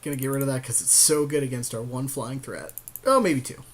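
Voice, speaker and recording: A man with a medium-pitched voice chats casually as he plays a card game. He comes across as an informal podcast co-host, joking and swearing in passing. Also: brisk. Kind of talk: casual chat while drafting a card game.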